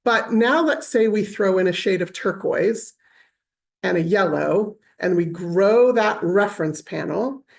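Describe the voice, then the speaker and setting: A woman's voice: mid-range voice, measured pace, vocal fry. Chatty woman presenting a webinar. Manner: chatty, explains with everyday examples, many fillers and asides. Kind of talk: conversational online teaching.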